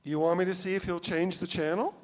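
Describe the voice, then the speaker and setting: A man's voice: high voice, fairly even intonation, a little fast, natural voice. A man playing an easygoing, politely curious searcher in a live staged play reading, casual in manner. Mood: casual, inquiring. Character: easygoing, hungry, politely probing searcher for a missing friend. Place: theatre stage before a live audience. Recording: reverberant theatre hall, live stage.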